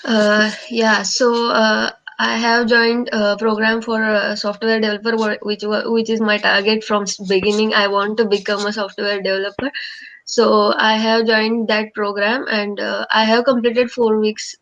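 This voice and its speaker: A woman's voice: medium pitch, level tone, moderate pace, fairly bright tone. A woman with an Indian accent, a programme participant, speaking hesitantly with many restarts over a video call. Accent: Indian English. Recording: muffled video call audio.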